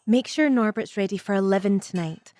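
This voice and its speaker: An actress in an audio drama playing a discreet, composed secretary who speaks in a measured, practical, cautioning way. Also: female voice, medium pitch, darkish tone, no room sound, lightly acted. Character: Day Day, discreet, composed female secretary and Resistance helper.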